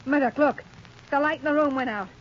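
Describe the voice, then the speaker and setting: A woman's voice: high-pitched, brisk, natural voice. An actress playing a nervous, rebellious woman in a criminal gang, speaking with anxious urgency in a 1930s radio drama. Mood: alarmed. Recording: muffled, noisy 1930s radio recording.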